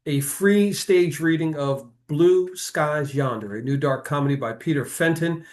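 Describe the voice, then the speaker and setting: A man hosting an interview in a conversational way, addressing guests and announcing details. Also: fairly high pitch. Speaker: interview show host.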